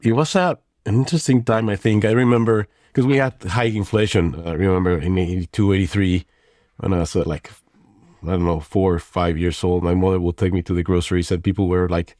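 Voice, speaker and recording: Podcast guest: forties, from Mexico, low deep voice, reflective and loose, dry close mic. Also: male voice, fairly even intonation, a little fast, a little mellow, dry recording. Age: 40s. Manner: thoughtful, rambling with frequent restarts and fillers. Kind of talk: conversational interview.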